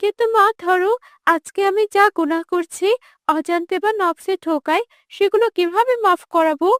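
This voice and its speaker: A woman's voice: very high-pitched, normal speed, fairly bright tone, dry recording, studio quality. A woman playing an earnest, repentant young woman in a cartoon, anxious and pleading.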